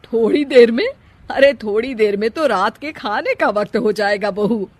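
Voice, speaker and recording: A woman voicing a scolding middle-aged mother-in-law in a cartoon family story, loud and complaining. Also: on the high side, normal speed, no room sound, natural voice. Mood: exasperated scolding.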